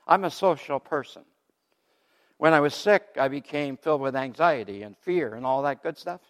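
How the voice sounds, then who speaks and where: An older man telling his faith story from the pulpit in a loud, lively way, laughing between points. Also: fairly high pitch, normal speed. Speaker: guest speaker giving testimony. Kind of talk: testimony sermon. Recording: long hall reverb.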